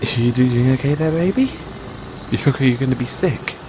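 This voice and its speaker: A man playing an excitable, affectionate mad scientist boyfriend in an intimate audio roleplay, musing haltingly to himself and his partner. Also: medium pitch, background noise, natural voice. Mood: caring, reassuring. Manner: musing, halting, chuckling asides, drawn-out reactions.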